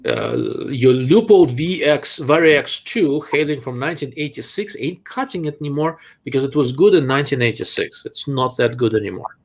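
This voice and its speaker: A man with a Russian accent hosts a live question-and-answer stream, giving blunt, dry answers. His mid-pitched voice has a gravelly edge. He talks plainly and is quick to call ideas out. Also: animated. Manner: blunt, dryly sarcastic. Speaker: host.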